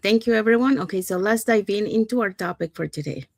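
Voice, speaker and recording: A woman's voice: medium pitch, fast talker, a little mellow, dry recording. A woman from Panama hosting a livestream panel talk, leading the conversation in an upbeat way with fillers. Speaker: host.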